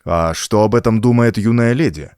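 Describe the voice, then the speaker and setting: A man voicing a tough, guarded rancher in an audiobook, curt, blunt and gruff in manner. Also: lowish voice, normal speed, a little mellow, no echo, studio quality, lightly acted. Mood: stunned, wary.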